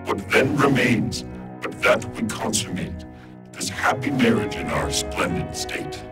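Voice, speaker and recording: A man's voice: mid-range voice, expressive, a little slow, lightly acted. Echoing, stately emperor played by a man. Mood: majestic, ceremonial. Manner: ceremonial, pompous. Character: grand godly emperor, stately and majestic. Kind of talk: declaimed verse in audio farce. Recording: echoey, distant, music behind.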